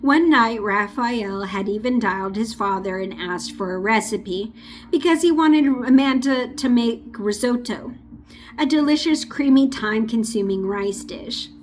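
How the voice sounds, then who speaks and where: A woman's voice: on the high side, measured pace, slight background noise. A woman reading a true-crime book aloud in a casual, unpolished way. She stumbles over names, restarts lines, and breaks off for chatty asides. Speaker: audiobook reader. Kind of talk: amateur audiobook reading with asides.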